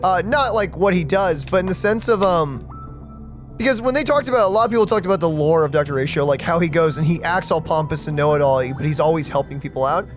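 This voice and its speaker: An animated let's play host with a mid-pitched voice, talking informally and thinking aloud during gameplay. The intonation swings widely, and faint game music plays underneath. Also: fast talker. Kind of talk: casual gameplay commentary and chat.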